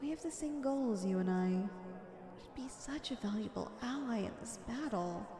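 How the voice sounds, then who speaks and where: A woman's voice: a little high, animated, a little slow, crowd noise. A woman playing a flirty, confident female supervillain in an ASMR roleplay, coy and teasing as she sweet-talks the listener. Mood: coaxing, sly. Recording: echoey with long reverb.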